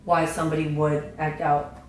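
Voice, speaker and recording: A young woman talks through a casual podcast chat, her slightly raspy voice full of fillers and restarts. She keeps a fairly flat, even delivery. The recording is very echoey, with the mic set well back and a faint hiss behind. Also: sits low, moderate pace. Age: young adult. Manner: chatty, many fillers and restarts. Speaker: podcast guest. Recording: echoey room, distant mic, faint noise.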